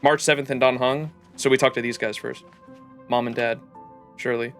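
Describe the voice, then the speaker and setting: A man with an average-pitched voice hosts a casual game livestream. His talk is slangy, jokey and full of swearing. Game music plays behind him. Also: fairly even intonation, moderate pace, fairly bright tone.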